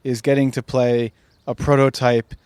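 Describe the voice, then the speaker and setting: A man co-hosting a podcast in casual chat, slightly hoarse from a lingering cough, with a dry, teasing sense of humor. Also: mid-range voice, flat delivery, moderate pace, darkish tone, dry recording. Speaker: podcast co-host.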